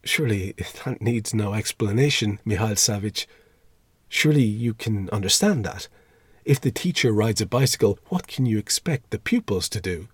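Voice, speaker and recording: A man's voice: fairly low pitch, lively intonation, moderate pace, husky, no echo. A man reading a storybook as a fussy, timid, easily shocked older schoolmaster with a formal, pleading, moralising manner. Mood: horrified, pleading.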